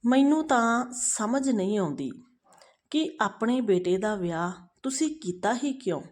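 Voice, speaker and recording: A woman's voice: a little high, lively intonation, unhurried. A woman storyteller playing a fed-up, protective young mother who scolds indignantly with pointed questions. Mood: bitter, fed up.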